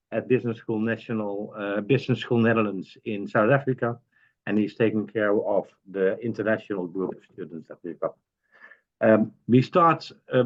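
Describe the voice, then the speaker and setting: Low-voiced male webinar host, muffled call. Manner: hesitant, many fillers and restarts. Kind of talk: hosting a webinar. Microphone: video call. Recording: dull, lo-fi video call audio.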